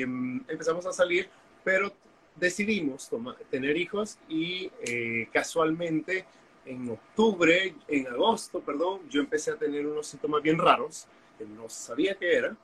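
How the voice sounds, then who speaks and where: A man's voice: on the high side, fairly bright tone, a little hiss or hum, rough recording. Candid man telling his story. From Honduras. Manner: candid, storytelling, frequent fillers. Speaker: guest, activist. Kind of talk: interview conversation. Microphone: video call.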